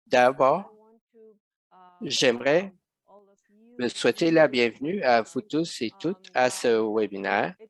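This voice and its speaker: Slow, hesitant male interpreter. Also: medium pitch, expressive. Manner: hesitant, many fillers and restarts. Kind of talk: simultaneous interpretation of a webinar.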